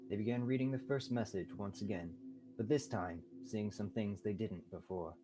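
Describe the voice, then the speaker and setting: A man's voice: lowish voice, moderate pace, over music, rough recording. A young man reading scripted narration for a radio-style mystery drama. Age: young adult.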